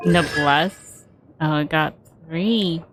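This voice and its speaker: A woman gamer talks casually to herself during a playthrough. Her voice is on the low side and very unhurried, in short fragments, with clear game noise behind it.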